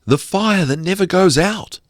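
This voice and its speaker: Young maiden, courageous and resolute, lifted pitch, fairy-tale reading by a male performer. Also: lively intonation, a little slow, no echo, studio quality. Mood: hushed, urgent. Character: brave, determined young Maori maiden. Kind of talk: storybook character voice.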